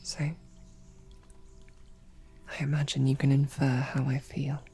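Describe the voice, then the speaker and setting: A woman playing a snarky, devoted female knight, stammering and flustered, often dropping to soft whispers. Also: very deep voice, monotone, measured pace, husky, faint music behind. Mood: tender. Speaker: audio drama performer. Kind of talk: romantic audio roleplay.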